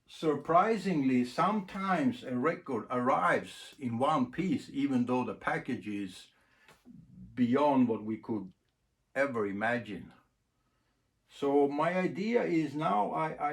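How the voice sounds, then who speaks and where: Slow-talking man, halting and unscripted. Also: mid-range voice, animated. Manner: halting, thinking aloud in short phrases. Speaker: record collector presenting a demonstration. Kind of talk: casual unscripted demonstration. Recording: clear background noise, clicks.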